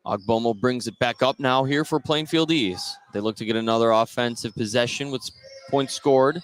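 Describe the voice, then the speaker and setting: A man's voice: mid-range voice, moderate pace. A man doing live play-by-play commentary of a basketball game. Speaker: play-by-play announcer. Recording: live gym noise behind the voice. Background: gym ambience of a basketball game.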